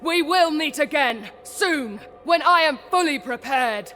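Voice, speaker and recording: A man playing a righteous, defiant young warrior in a video game, declaiming forcefully and theatrically. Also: very high-pitched, lively intonation, a little slow, soft background music, heavily acted. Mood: defiant, indignant. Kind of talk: video game cutscene acting. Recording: long reverb, faint noise behind.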